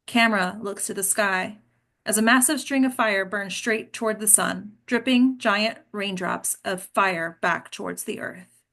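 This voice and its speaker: A woman's voice: medium pitch, unhurried, creaky, bright, forceful tone. A woman leading an actors' table read, reading and chatting in an informal, encouraging way. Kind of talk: script reading and group discussion.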